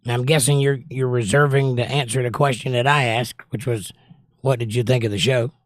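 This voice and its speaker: Slightly hoarse, chatty middle-aged man. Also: mid-range voice, fairly even intonation, normal speed, dry recording, clean studio sound. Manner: chatty, joking, teasing. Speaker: livestream host. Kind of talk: casual chat reading viewer comments aloud.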